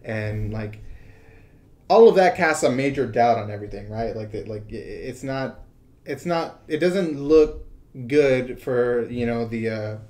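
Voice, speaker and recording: An American man gives casual news commentary as a podcast co-host. His delivery is halting and heavy with filler. He often restarts phrases as he works through a point. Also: medium pitch, a little mellow.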